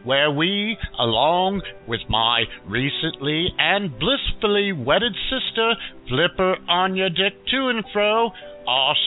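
A man playing a crude, irreverent Dutchman delivering a mock-formal comic message with theatrical relish. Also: high-pitched. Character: irreverent Dutch man Guy, cheerfully crude and bitter about his father. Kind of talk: comic monologue as a message to radio hosts. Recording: phone-like narrow band, music behind.

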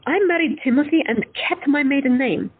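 A woman playing a frank, unconventional woman doctor who talks bluntly and candidly with a slightly husky voice. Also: high voice, brisk, close to an everyday voice. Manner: blunt, candid, lightly teasing. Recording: tinny phone-like audio.

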